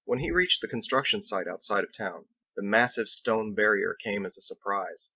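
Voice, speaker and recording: A man narrates a written story in a low, creaky voice with a bright, forceful edge. The recording is very dry and slightly dull. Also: normal speed, dry recording. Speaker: author narrating his own book. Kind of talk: scripted audiobook narration.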